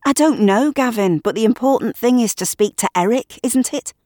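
A woman's voice: low voice, expressive, unhurried, darkish tone, dry recording, clean studio sound. A woman voicing a curt, scolding neighbour.

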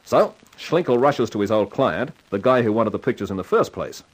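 An actor playing a self-assured, slangy, wry amateur sleuth in a 1950s radio crime drama. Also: male voice, on the low side, expressive, fairly quick, slightly rough. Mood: confident. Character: wisecracking, hard-boiled male amateur sleuth, self-assured.

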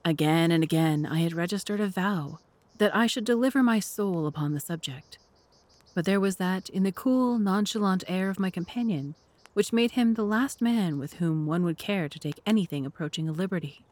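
Female narrator, lowish pitch, measured and formal delivery of scripted literary narration. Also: darkish tone, no room sound.

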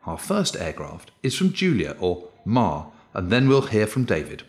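A man's voice: fairly low pitch, huge pitch swings, moderate pace. A man presenting a podcast with scripted narration, introducing material as host.

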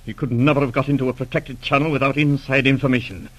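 Actor as a measured, authoritative intelligence chief. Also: male voice, medium pitch, fairly even intonation, natural voice, poor audio. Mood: pondering. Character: authoritative, shrewd male counter-espionage chief, measured. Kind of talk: 1940s radio drama acting.

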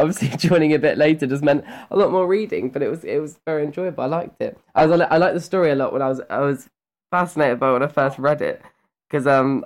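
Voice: mid-range voice, darkish tone. A voice actor chatting casually in an interview, rambling and hesitant with a giggly edge.

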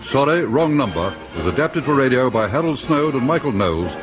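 A man's voice: mid-range voice, very animated, a little fast. A man reading formal scripted credits as a radio announcer. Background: music.

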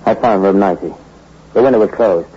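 A man's voice: on the low side, a little fast, mellow, husky. A man playing a tough, bitter small-time hoodlum in a 1960s radio crime drama, with slangy, hard-bitten delivery. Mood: hard, brooding. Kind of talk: 1960s radio crime drama acting with first-person narration. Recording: dull old radio recording with music behind.

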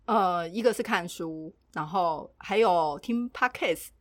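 A woman's voice: on the low side, takes it slowly, no room sound. Taiwanese woman co-host, dry close sound. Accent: Taiwanese Mandarin. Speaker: podcast co-host. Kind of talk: casual chat.

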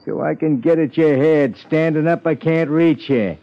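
A man's voice: fairly high pitch, normal speed, natural voice. An actor playing a tough, sardonic American gunman of about thirty in a 1950s radio drama, talking in curt slang. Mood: menacing. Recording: dull 1950s radio recording.

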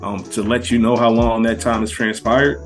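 A man speaking as a guest on a casual podcast, laying out his view in a measured way with frequent fillers. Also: mid-range voice, little pitch movement, soft background music.

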